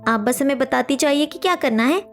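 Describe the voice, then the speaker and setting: A woman's voice: high-pitched, brisk, faint music behind. A woman playing a helpful, insistent and protective young daughter-in-law in a cartoon family story. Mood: eager, protective.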